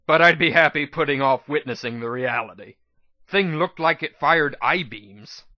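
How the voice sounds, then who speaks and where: A man's voice: mid-range voice, no room sound. A man narrating a first-person story as a dramatic audiobook reading. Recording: slightly dull, dry lo-fi recording.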